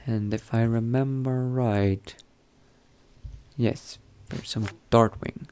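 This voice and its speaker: A man doing an in-character game roleplay, reading aloud in a measured, bookish way and muttering asides to himself. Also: mid-range voice, steady tone, a little mellow, smooth. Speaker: roleplay gamer narrating in character.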